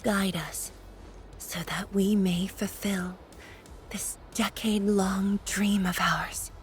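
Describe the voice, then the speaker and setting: An actress voicing a grave, wistful woman leader in a game, with a soft, breathy, stately, reflective delivery. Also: mid-range voice, level tone, slow pace, slight background noise, close to an everyday voice. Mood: solemn, wistful. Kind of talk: scripted game voice acting.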